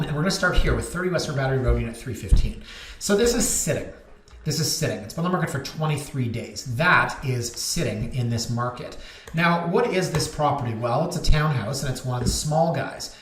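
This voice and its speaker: Brief: realtor in his thirties, chatty and self-mocking, mid pitch, recorded in a roomy space. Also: expressive, moderate pace, fairly bright tone, a little hiss or hum. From Canada. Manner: chatty, joking, self-deprecating. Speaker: realtor and vlog host. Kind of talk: casual rambling talk to camera. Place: office.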